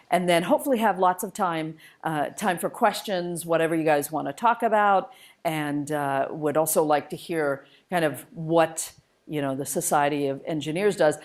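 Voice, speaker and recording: A woman giving an informal public lecture, conversational, enthusiastic and emphatic as she shares personal stories as a guest speaker. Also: fairly low pitch. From United States and Malaysia, born in India. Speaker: guest speaker, spacecraft engineer.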